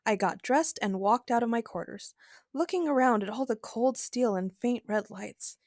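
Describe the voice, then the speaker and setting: A woman's voice: fairly high pitch, normal speed, darkish tone, no room sound, clean studio sound, close to an everyday voice. A woman playing a frank, feisty, worried female adventurer in an audio drama, speaking candidly and with emphasis. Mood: reflective. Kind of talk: first-person narration and dialogue in an audio drama.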